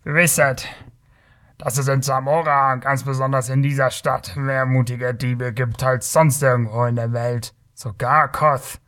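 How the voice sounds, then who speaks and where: A man's voice: medium pitch, level tone, a little slow, a bit bright, natural voice. A man voicing a mocking, boastful, hot-tempered thief in a fantasy audiobook, with a bellowing, condescending manner. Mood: boastful warning.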